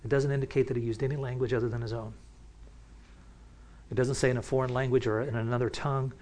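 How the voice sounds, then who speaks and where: A man teaching from the Bible in a relaxed, conversational way, often restarting sentences and picking up energy as he warms to a point. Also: medium pitch. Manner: casual, with many restarts and asides. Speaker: pastor.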